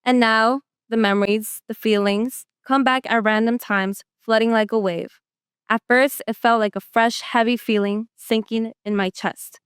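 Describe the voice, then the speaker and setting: A young woman, a student poet, giving a reflective, measured poetry reading with pauses between short phrases. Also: fairly high pitch, steady tone, clear, resonant tone, no room sound, clean studio sound. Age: young adult.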